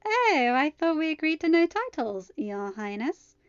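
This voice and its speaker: A woman's voice: high voice, big pitch swings, measured pace, no room sound, muffled recording, voice pushed up. A woman voicing a playful, affectionate teenage daughter in a storybook, teasing and informal.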